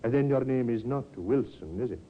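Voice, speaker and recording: Man acting measured police inspector, muffled old radio. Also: mid-range voice, expressive, close to an everyday voice. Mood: politely probing. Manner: formal, measured, politely insinuating. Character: calm, methodical, slyly pointed male police inspector. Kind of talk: vintage radio drama acting. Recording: muffled, hissy 1950s radio recording.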